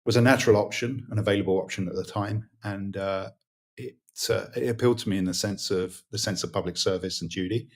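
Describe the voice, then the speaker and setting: A British man in his fifties, a guest in an interview, talking in a relaxed way with many pauses and fillers. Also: on the low side. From Britain. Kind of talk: interview conversation.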